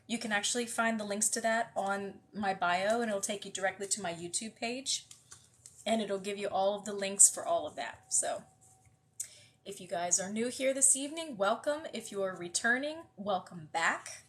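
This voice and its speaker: A woman with a slightly nasal, congested voice, hosting a live book reading and chatting casually with viewers, with many fillers and restarts. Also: mid-range voice. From US West Coast. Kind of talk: casual livestream chat greeting viewers.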